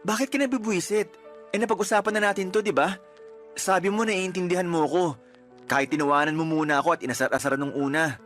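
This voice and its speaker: An actor playing a principled, conservative young boyfriend in a Filipino radio drama, speaking in an earnest, reproachful and protesting manner. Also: male voice, high-pitched, fast talker, fairly bright tone, soft background music. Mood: hurt, firm.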